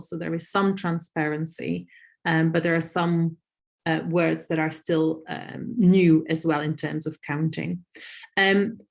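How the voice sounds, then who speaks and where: A woman whose first tongue is Dutch talks through a video call, her voice sitting a little low. She explains patiently, listing examples and stopping to gather her thoughts with soft fillers. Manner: thoughtful, many "um" pauses, lists examples. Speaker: researcher answering audience questions. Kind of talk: webinar Q&A, explaining.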